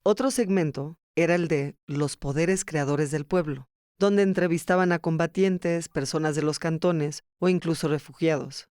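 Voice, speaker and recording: A man's voice: on the high side, moderate pace, darkish tone, clear, resonant tone, dry recording, studio quality. A man reading scripted documentary narration as the narrator of a podcast.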